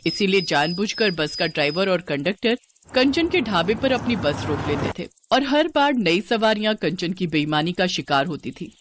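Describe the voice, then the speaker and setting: A woman's voice: lowish voice, lively intonation, normal speed, noisy background. A woman narrator delivering a scripted moral story as storytelling narration.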